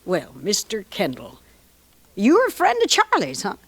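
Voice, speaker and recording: Flirtatious frontier madam, swooping and lively. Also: female voice, mid-range voice, measured pace, noisy background. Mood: coy, flattering. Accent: American. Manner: gushing, familiar, drawling folksy talk. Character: flirtatious, folksy madam of a frontier boarding house. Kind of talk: old-time radio western acting.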